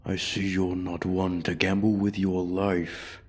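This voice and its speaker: Man voicing a deep, husky, menacing ancient dragon. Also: level tone, full character voice. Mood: taunting. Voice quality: husky, breathy, often dropping to a whisper. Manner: haughty, deliberate, coldly threatening. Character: ancient, menacing, arrogant male dragon guarding his hoard. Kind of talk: slow, theatrical fantasy ASMR roleplay monologue.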